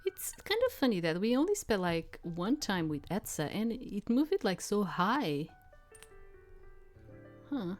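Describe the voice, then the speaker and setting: A woman's voice: mid-range voice, sing-song, a little slow. A woman doing casual gameplay commentary and reading story text aloud, with a hesitant, thinking-aloud manner. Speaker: let's player reading a visual novel aloud. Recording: background music under the voice. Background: game music.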